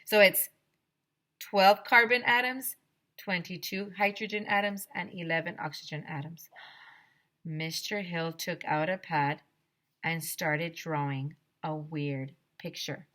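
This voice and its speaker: A woman teacher reading a children's book aloud in an expressive way, as if talking directly to young listeners. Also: on the low side, takes it slowly. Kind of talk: animated storybook read-aloud.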